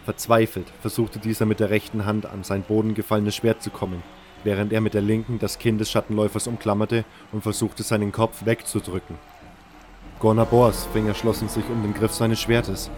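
A man's voice: on the low side, little pitch movement, brisk, darkish tone, a little hiss or hum. A man reading scripted first-person fantasy narration as the narrator of an audio drama. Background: music.

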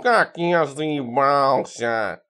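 Storybook tomcat, played by a man: grumpy, slow and drawn-out, sulky indignation, swinging pitch. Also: very animated, fairly bright tone, full character voice. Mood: exasperated, bitter. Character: grumpy, furious talking tomcat, sulky and exasperated.

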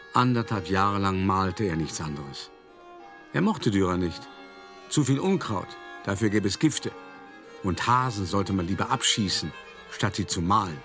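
A cultured, self-satisfied male art forger recounts his scheme in a lowish voice. He speaks as a measured, reflective first-person narrator in a radio drama, articulate throughout, with faint music behind him. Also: a bit bright.

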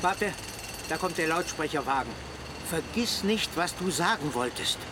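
An actor playing a stern, patronising guardian who scolds a youngster in a German radio drama. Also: male voice, fairly high pitch, expressive, unhurried. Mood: brusque. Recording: noisy street with traffic and machinery.

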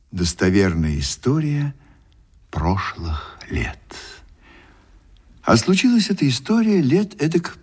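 An actor playing a confiding storyteller in a radio play, speaking to the listeners with courtly, old-fashioned expressiveness and tender pity. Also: male voice, low-pitched, husky, full character voice. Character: confiding male storyteller, tenderly pitying naive young ladies. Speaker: narrator. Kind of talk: theatrical radio play narration addressed to listeners. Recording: radio broadcast with faint music behind.

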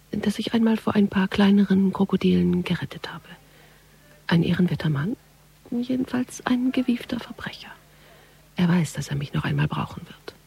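An actress playing a shrewd police analyst's inner thoughts in a radio drama, breathy, often whispered, sly and confiding. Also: female voice, fairly low pitch, a little mellow, full character voice. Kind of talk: intimate interior monologue in radio drama.

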